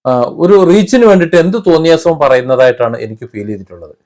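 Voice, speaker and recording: Man, slightly high-pitched voice, opinion commentary, critical, emphatic, halting delivery. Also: very animated, a little mellow. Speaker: commentary vlogger.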